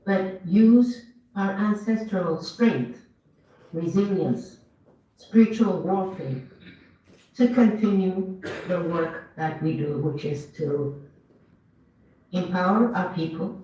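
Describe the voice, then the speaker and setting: Slow earnest speaker from Ethiopia, echoey hall. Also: medium pitch, mellow, slight background noise. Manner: earnest, measured, with rising emphasis on key words. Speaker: event speaker. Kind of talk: formal commemorative speech. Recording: dull, echoey hall, mic far off.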